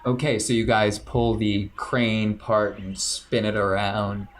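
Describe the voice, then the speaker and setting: Male GM, low voice, loose rambling narration, light background noise. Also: slow. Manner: relaxed, rambling narration with fillers. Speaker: game master. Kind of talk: narrating a tabletop game.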